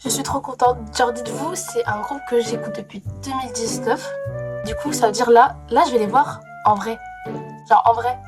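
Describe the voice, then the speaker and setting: A young woman, a little hoarse from a cold, chatting casually to camera in a rambling way, full of fillers and restarts. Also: on the high side, steady tone, normal speed, music in the background. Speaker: vlogger. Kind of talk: casual vlog chat to camera.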